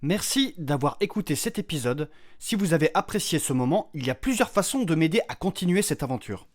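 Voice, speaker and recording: A man hosting a podcast, speaking straight to listeners in a scripted outro. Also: fairly high pitch. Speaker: podcast creator and host.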